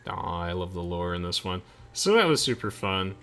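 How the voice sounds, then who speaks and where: A man's voice: sits low, big pitch swings, moderate pace, a bit bright. A young man hosting a gaming video, chatting casually and enthusiastically as he comments on the play. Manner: enthusiastic, gushing. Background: game music.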